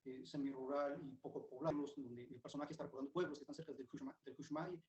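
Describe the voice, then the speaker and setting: Man, halting speech in an echoey room. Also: medium pitch, fairly even intonation, darkish tone. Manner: hesitant, with fillers. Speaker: panelist at a book presentation. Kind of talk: commentary at a book presentation.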